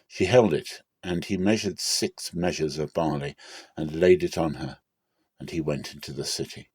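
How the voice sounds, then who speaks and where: A man's voice: very deep voice, moderate pace, a little mellow, slightly rough, dry recording. A man reading a Bible story aloud as a narrator, in a dramatic scripted style.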